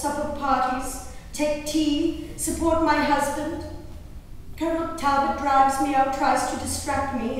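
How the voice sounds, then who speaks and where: A woman acts out a wayward, grieving colonial lady reading her diary theatrically in a high voice. Her delivery is deliberate and emotive, with drawn-out words. She is heard from far off in a big, heavily reverberant hall. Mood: composed, wry. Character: grieving, wayward colonial-era gentlewoman and mother, outwardly dutiful. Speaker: stage performer. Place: live performance in a large echoey room. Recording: echoey hall, distant mic, faint noise.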